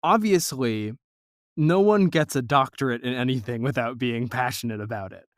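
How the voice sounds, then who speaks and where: A man's voice: medium pitch, moderate pace, dry recording. Reflective male interviewer, dry sound. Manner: reflective, discursive questioning. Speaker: podcast host, interviewer. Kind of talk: conversational interview.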